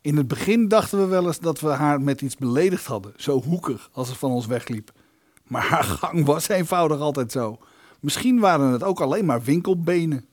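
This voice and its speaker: A man's voice: on the high side, animated, moderate pace, darkish tone, no echo, clean studio sound. An actor playing a scheming, dryly witty male lodger in a radio play, talking in scripted dialogue and storytelling. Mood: wry reminiscing.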